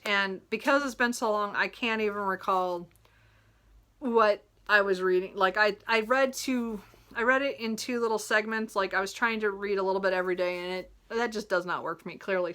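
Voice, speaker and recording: Bright-voiced woman, chatty book vlogger. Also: mid-range voice. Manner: rambling, self-correcting, apologetic; giggles often while talking. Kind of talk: casual, chatty talk about a reading list.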